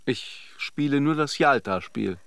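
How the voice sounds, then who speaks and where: A charming philanderer in a radio drama, playful and flirtatious, with a reflective side. His mid-pitched voice swings widely in melody and is recorded close and dry. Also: expressive, unhurried, gravelly, a bit bright, no room sound. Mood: teasing, flirtatious. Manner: teasing and joking, musing when alone. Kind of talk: radio drama acting in intimate dialogue and monologue.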